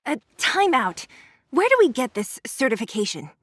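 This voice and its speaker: A woman's voice: sits high, sing-song, normal speed, fairly bright tone, no echo, studio quality, exaggerated voice. A woman playing a spirited young adventurer in a video game, casual and skeptical in her way of talking. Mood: puzzled.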